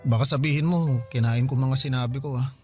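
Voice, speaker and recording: A man's voice: medium pitch, steady tone, fairly quick, music in the background, close to an everyday voice. An actor playing a broke young man who pleads with his older sister in a radio drama, defensive and whiny. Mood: explaining. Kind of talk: Filipino radio drama acting.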